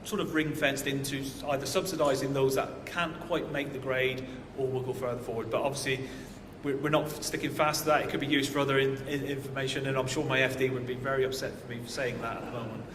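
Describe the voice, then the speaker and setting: A man's voice: mid-range voice, steady tone, quick pace, few pauses. A man answering audience questions at a conference, hesitant with many fillers. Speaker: housing association manager answering questions. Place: conference hall. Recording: echoey hall with audible noise.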